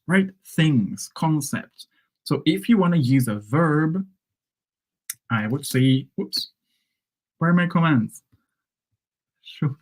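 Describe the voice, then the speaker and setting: A man whose first language is Hungarian, teaching English phrases in a relaxed live lesson and checking in with "right?" and "okay?". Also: medium pitch, slightly rough. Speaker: English coach.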